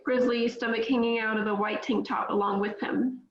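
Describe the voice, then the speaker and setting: A woman's voice: a little high, steady tone, a little fast. A woman reading scripted stage directions as narrator at a table read, in roomy, slightly dull audio.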